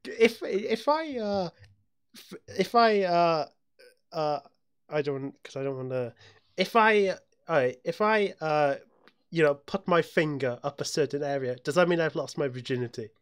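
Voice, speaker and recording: A man's voice: a little high, animated, a little slow, clean tone. A young British man chatting casually and telling anecdotes as a podcast co-host, often stammering and repeating his words.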